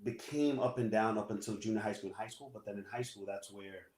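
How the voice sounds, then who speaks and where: A man, a Spanish speaker, telling his story casually in an interview and filling pauses with "you know". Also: on the low side. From New York. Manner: relaxed, many "you know" fillers. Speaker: guest, assistant principal. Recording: echoey room.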